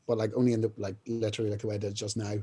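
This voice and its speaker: Low, mellow-voiced man telling stories. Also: flat delivery, rapid-fire. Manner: rambling, many fillers and restarts, clears throat often. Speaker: band singer, interview guest. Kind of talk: casual storytelling interview.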